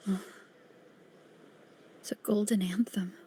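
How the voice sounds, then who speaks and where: An actress playing a thoughtful, curious female investigator in a video game, musing to herself in a soft, wondering way. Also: mid-range voice, steady tone, slow pace, a little hiss or hum, natural voice. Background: faint background music.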